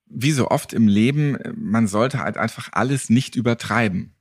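A man's voice: medium pitch, normal speed, husky, no room sound, clean studio sound. A man hosting a podcast in a casual, chatty style. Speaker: podcast host.

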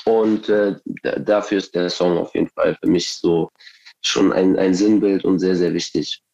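Man, lowish voice, slightly muffled recording, guest musician, colloquial casual chat, slangy. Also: fairly even intonation, a little slow, vocal fry, warm tone.

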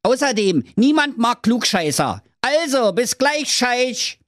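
A brash, happy-go-lucky Berlin lad who talks in squeaky, swooping cheek. His storybook voice is high and lively, recorded close and dry. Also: slow pace, no echo, exaggerated voice. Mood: cheeky. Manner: brash, cheerful, slangy. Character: cheeky cocky Berlin man on a quest.

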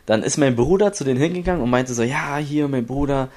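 A man's voice: medium pitch, quick pace, darkish tone. A young man from Berlin, an esports player, tells his story in a casual interview, rambling with many restarts and acting out what others said.

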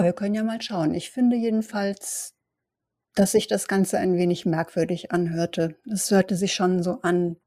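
A woman's voice: fairly low pitch, dark tone, no room sound, close to an everyday voice. A woman as a wary vampire scout, close and dry. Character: Adriana, observant, wary female vampire scout. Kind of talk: improvised tabletop role-play audio drama.

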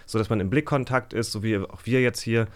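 A man's voice: lowish voice, fairly quick. A man speaking as an interview guest in casual conversation, hesitant and self-effacing as he searches for words. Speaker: podcast guest, actor.

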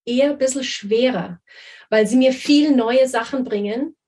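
A woman's voice: high voice, a little slow, darkish tone, slight background noise. Brief: woman in her 40s, native German speaker, chatty guest, talks in loose restarts. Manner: chatty, many fillers and restarts, agrees often. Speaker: guest, mother raising bilingual children. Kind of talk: casual conversation.

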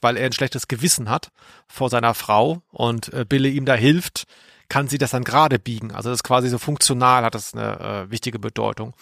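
Male co-host, middle-aged, gravelly mid-pitched voice, matter-of-fact casual chat, very dry sound. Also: fairly bright tone, no echo. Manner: matter-of-fact, colloquial, tag questions. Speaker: podcast co-host.

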